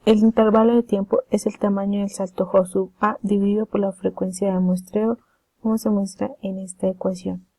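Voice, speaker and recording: Flat-toned woman narrating a lesson. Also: medium pitch, moderate pace, no room sound, rough recording. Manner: formal, methodical, reading step by step. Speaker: presenter explaining signal processing.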